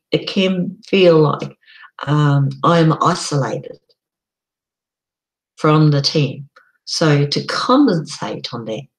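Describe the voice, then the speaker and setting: A middle-aged woman talks slowly and casually on her own live stream, her voice croaky. She is chatty and unhurried, often pausing on an um before carrying on. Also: deep voice, low-quality recording. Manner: slow, chatty, frequent ums.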